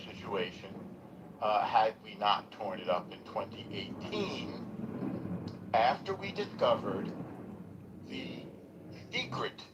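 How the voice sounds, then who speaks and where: Man, host, very slow, halting, rambling, lowish voice, faint noise. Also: dull audio. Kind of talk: political call-in discussion.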